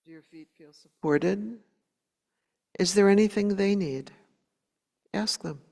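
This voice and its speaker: A woman meditation teacher leading a guided meditation in a gentle, unhurried way, leaving long pauses between short phrases. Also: medium pitch, creaky. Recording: long hall reverb.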